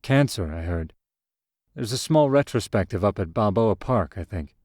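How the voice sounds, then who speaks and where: A man's voice: lowish voice, lively intonation, normal speed, a little mellow, no room sound, clean studio sound, close to an everyday voice. A man voicing a matter-of-fact restaurant waiter who talks plainly and informatively.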